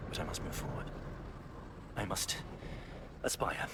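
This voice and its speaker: A man's voice: unhurried, noisy background, close to an everyday voice. An actor playing an anguished, searching man in a video game, desperate to save his sister, with a formal, dramatic, brooding delivery. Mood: brooding, troubled.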